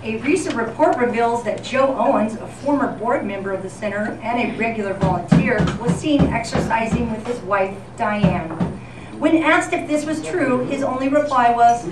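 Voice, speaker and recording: A woman with a somewhat high voice delivers a scripted tribute as if reading radio news. Her presentation is theatrical and comic. She is heard from a distance, with clear background noise in the room. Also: normal speed. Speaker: event presenter. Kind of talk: scripted comedic tribute narration, golden-age radio newscast format. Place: live event in a senior center room. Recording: echoey, noisy room recorded from far off.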